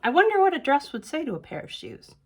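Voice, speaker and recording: A woman reading a children's picture book aloud with expressive, drawn-out words, whispered drops and chatty questions to young listeners. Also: sits high. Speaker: library storytime reader.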